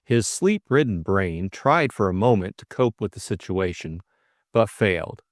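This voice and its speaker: A man's voice: lowish voice, expressive, measured pace, dry recording, studio quality. A man reading scripted story narration as an audiobook narrator.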